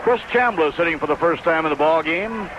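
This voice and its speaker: A man's voice: a little high, animated, fairly quick. A man announcing baseball play-by-play and game statistics on the radio. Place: stadium broadcast booth. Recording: dull noisy vintage AM radio.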